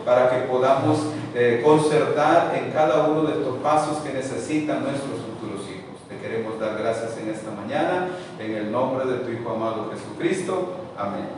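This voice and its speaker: A man's voice: mid-range voice, background noise. Earnest man preaching in an echoey hall. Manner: earnest, preacherly, rising fervor in prayer. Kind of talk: spiritual address and spoken prayer. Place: meeting room. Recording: echoey hall, picked up from far off.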